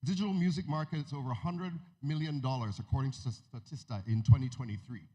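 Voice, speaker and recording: A man speaks Nigerian English with an American lilt as he gives a conference keynote. His pitch is middling and his tone conversational. He quizzes the crowd and drops joking asides. His voice rings out in a large hall with a long reverb tail. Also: fast, warm tone. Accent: American-tinged Nigerian English. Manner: conversational, asks the audience questions, jokes asides.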